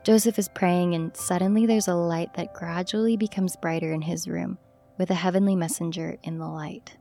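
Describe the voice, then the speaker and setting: A woman's voice: lowish voice, fairly even intonation, moderate pace, creaky, warm tone, faint music behind. A woman hosting a podcast, reading scripted narration. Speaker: podcast host.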